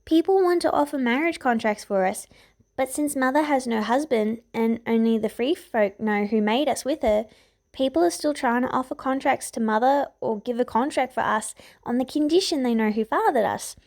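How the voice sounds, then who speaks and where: A woman's voice: a little high, normal speed, darkish tone, natural voice. A woman voicing an earnest nine-year-old princess who chatters in long, run-on explanations. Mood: earnest, confiding.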